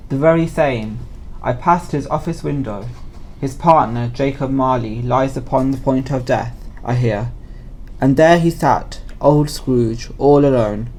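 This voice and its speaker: A man's voice: mid-range voice, a little slow, darkish tone, lightly acted, poor audio. A man acting as a gossiping husband sharing news in an amateur audio play. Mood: gossipy.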